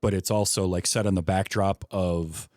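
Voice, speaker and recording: A man's voice: low-pitched, steady tone, moderate pace, darkish tone, dry recording, studio quality. A man hosting a podcast, discussing a film in a casual, conversational way. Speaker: podcast host.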